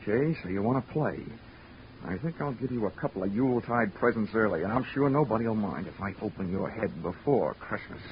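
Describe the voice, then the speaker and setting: A man's voice: lowish voice, expressive, a little fast, very noisy, natural voice. An American actor playing a cool, cocky, wisecracking male private investigator in 1940s radio drama, with a wry, punchy delivery. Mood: threatening. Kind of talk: 1940s radio detective narration and acting. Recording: muffled vintage radio recording with music.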